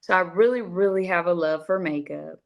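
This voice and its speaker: A woman from the States speaks. She is a guest in a casual interview, telling her experiences in a chatty, expressive way. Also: on the low side, moderate pace, gravelly, lo-fi sound. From southern United States. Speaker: interview guest, broadcast journalist and actress.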